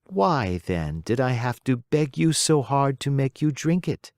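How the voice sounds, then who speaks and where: A storyteller voicing a patient, motherly fairy who coaxes gently but firmly. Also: mid-range voice, big pitch swings, a little slow, no room sound, studio quality, voice pushed up. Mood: coaxing. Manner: gentle, coaxing and firm.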